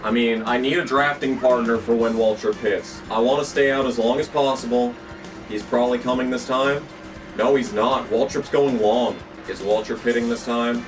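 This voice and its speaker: A young man, hoarse from losing his voice, commentating his racing game run with frequent swearing and excited outbursts. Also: mid-range voice, moderate pace, distant mic, music in the background, poor audio. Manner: excitable, swears often, jumps into yelps when the race turns. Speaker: gaming channel host. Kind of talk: gameplay commentary.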